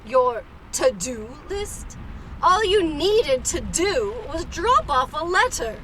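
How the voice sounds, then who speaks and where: A woman's voice: sits high, very animated, slow pace, fairly bright tone, a little hiss or hum, full character voice. A woman playing a practical, determined wife and mother in a comic radio play, pointed and chiding.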